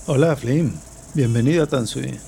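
A man's voice: mid-range voice, normal speed, gravelly, warm tone, slight background noise, close to an everyday voice. A man playing a mysterious, welcoming city local and would-be outlaw hero in an audio drama. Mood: welcoming, evasive. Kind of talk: amateur audio drama acting.

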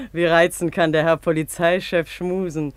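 An actress in a 1950s radio play voicing a brazen, teasing young washerwoman, sharp-tongued, mocking and overly familiar. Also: fairly low pitch, lively intonation, unhurried, dry recording. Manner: mocking, familiar, cajoling with pet names.

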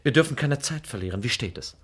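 Impatient, husky young gentleman. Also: medium pitch, animated, fast talker. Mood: urgent. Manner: curt, exasperated, theatrical. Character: impatient, indignant young gentleman, spendthrift son. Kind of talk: classic German radio play comedy acting.

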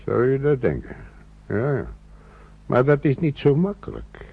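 An actor playing a cautious, kindly older countryman in a radio play, gravelly and husky, hesitant and repeating himself. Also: mid-range voice, huge pitch swings, measured pace, heavily acted. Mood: wary, refusing. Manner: hesitant, repeating himself, muttering short phrases. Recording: muffled old radio drama recording.

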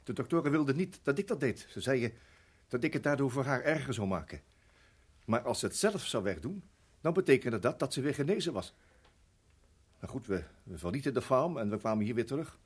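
An actor in a radio play playing a weary, anguished husband who speaks earnestly and haltingly, repeating his words. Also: medium pitch, expressive, husky. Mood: weary, despairing.

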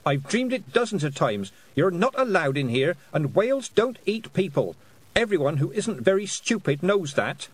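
A man's voice: a little high, very animated, normal speed, few pauses, slightly rough, pitched above the performer's own voice. A man voicing a stubborn, plucky young girl in a storybook, earnest, emphatic and knowing. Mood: indignant. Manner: earnest, emphatic, matter-of-fact.

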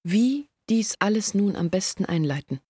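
Husky, earnest young village woman. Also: medium pitch, animated, normal speed, mellow, no echo. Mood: anxious, reasoning. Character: earnest anxious young village woman, pleading her family's case. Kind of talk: literary radio play monologue.

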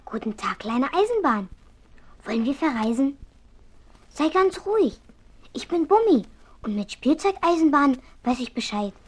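A man voicing a plucky little yellow teddy bear in a squeaky, childlike voice for a children's radio story. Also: very animated, normal speed, long pauses, slight background noise. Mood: friendly, reassuring.

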